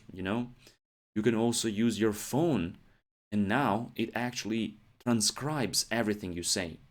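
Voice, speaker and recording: A man, hosting a podcast English lesson and checking in with "yeah?" and "right?". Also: lowish voice. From Ukraine. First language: Ukrainian. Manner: explains steadily, often adds "yeah?" and "right?" checks. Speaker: English teacher, podcast host.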